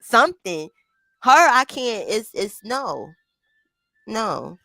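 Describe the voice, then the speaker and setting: A woman with a high voice hosting a casual chat, talking in a blunt, profane, animated way. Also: slow pace, vocal fry, no room sound, soft background music. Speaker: host.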